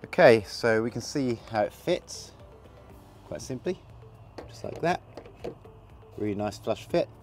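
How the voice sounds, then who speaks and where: A man with a gravelly voice from a cold, casually talking through a hands-on installation while he works. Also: mid-range voice, unhurried, long pauses, soft background music. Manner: relaxed, chatty, talks while working with hands. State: hoarse from a cold. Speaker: product installer demonstrating. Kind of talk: casual how-to demonstration.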